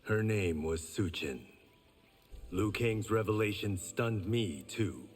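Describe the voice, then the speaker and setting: A man's voice: lowish voice, lively intonation, slow, big-room sound, faint music behind. An actor voicing an honourable reformed gangster swordsman in a fighting game, dry and self-assured. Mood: calm.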